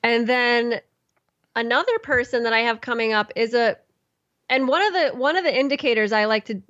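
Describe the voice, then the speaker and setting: A woman's voice: on the high side, normal speed, fairly bright tone, dry recording, lo-fi sound. A woman who is a classical musician, chatting on a podcast in a rambling way, with restarts and ums. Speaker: classical musician and podcast host, guest here. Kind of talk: casual podcast conversation.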